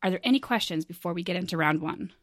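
A woman's voice: lowish voice, expressive, quick pace, no room sound, clean studio sound. A woman hosting a casual panel game, chatty and jokey, often laughing as she explains and runs things. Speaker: game show host.

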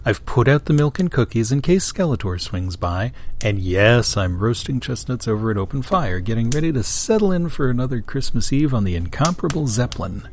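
A man's voice: mid-range voice, big pitch swings, a little fast, hardly any pauses, darkish tone, slight background noise. A man hosting a radio theater show, giving a jokey, playful scripted introduction.

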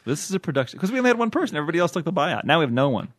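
Lively, quick-talking man on a podcast. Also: a little high. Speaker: podcast co-host. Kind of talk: casual banter.

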